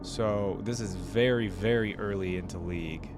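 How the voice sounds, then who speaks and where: A man's voice: on the low side, normal speed, background noise. A man giving casual, slangy reaction commentary as the host of a video. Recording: loud music behind the voice. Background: game trailer and theme music.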